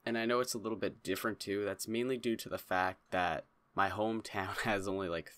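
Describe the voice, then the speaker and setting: Low-voiced young man chatting casually. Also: steady tone, brisk, fairly bright tone, no echo. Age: young adult. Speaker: YouTube host. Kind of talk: casual vlog talk to camera. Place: small home room indoors.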